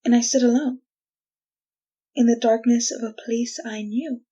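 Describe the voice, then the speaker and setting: An actress playing a desperate, haunted woman prisoner condemned though innocent, telling her tale in theatrical, deliberate retro radio drama style. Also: a little high, steady tone, mellow, husky, dull audio. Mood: eerie, hushed.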